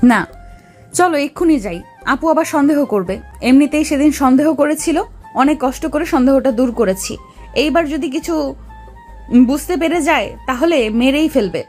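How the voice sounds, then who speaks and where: A woman's voice: high-pitched, normal speed, a little mellow, soft background music, natural voice. A woman playing an affectionate, anxious young woman in an audio love story. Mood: anxious, urging.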